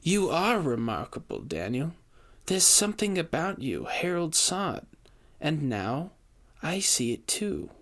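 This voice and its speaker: A man plays a high-society widow in an audio story, pitched up from his usual voice. She is genteel and dramatic, flattering and pleading, with grief and secrets underneath. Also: unhurried, full character voice. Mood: admiring. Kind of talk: audio fiction character dialogue.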